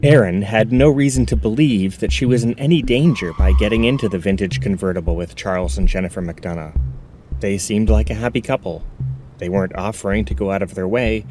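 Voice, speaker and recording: A man's voice: lowish voice, brisk, darkish tone, soft background music, lightly acted. A man delivering scripted narration for an audio drama as its storyteller.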